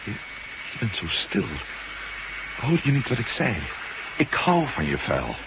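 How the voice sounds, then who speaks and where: A man playing a former astronaut hero in a radio play, earnest and expressive. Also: medium pitch, unhurried, very noisy. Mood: tender. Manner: earnest, tender in love scene. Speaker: Matt Meldon, former astronaut and reporter. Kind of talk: 1980s radio play acting.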